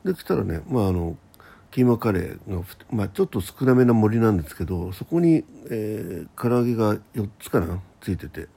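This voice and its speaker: Low-voiced man, animated pitch, casual chat. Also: brisk, gravelly, breathy edge. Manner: rambling, conversational, acts out quoted exchanges. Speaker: radio talk host.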